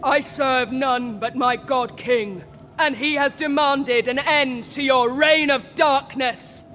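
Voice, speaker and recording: A righteous, fierce young warrior in a fantasy game. She declaims in a high voice at a measured pace, resolute and vengeful. Long reverb surrounds her, with faint noise. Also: steady tone, a bit bright, faint music behind. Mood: stern, resolute. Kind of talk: heroic video game cutscene acting.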